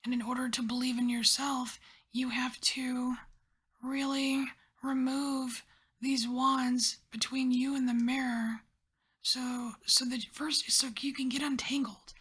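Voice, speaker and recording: Woman tarot reader, whispers throughout, high and airy, rambling and encouraging. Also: flat delivery, slow, fairly bright tone. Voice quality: whispering, breathy.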